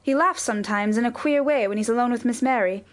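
A woman's voice: on the high side, fast talker, natural voice. Woman doing a fussy, flustered old housekeeper. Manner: breathless, rambling explanations, lots of "sir".

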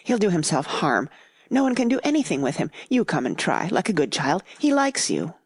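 A woman voicing an anxious, flustered children's nurse in a storybook, hurried and urging. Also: on the low side, huge pitch swings, slightly rough, no echo, studio quality, full character voice. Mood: anxious, pleading.

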